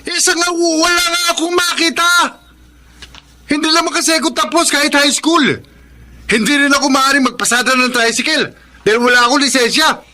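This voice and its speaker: A defensive, hot-tempered young husband in a radio drama. His voice is strikingly high for a man and very tense and forceful. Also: steady tone, fairly quick, heavily acted. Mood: irritated, menacing. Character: irritable, threatening young husband, poor and defensive. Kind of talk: Filipino radio drama acting.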